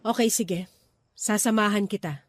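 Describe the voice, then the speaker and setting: A woman's voice: medium pitch, unhurried, natural voice. A woman acting a wounded, weary grieving mother and wife in a Filipino radio drama, speaking with sorrowful emotion. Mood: tired, subdued. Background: background music.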